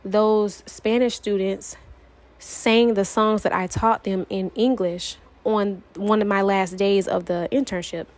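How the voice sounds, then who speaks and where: A woman's voice: mid-range voice, a little mellow. A young woman from Florida, sharing her personal testimony in an earnest, emphatic way, repeating phrases to drive them home. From United States, Florida.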